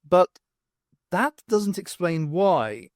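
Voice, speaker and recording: A man voicing a brisk, confident woman adventurer in an audiobook, wry and emphatic. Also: sits high, very animated, no room sound, clean studio sound, pitched above the performer's own voice, exaggerated voice. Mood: eager, questioning. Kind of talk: audiobook character voice.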